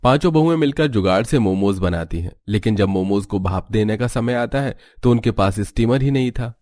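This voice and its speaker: A man's voice: on the low side, animated, brisk, a little mellow. A man delivering scripted narration as the storyteller of a cartoon tale.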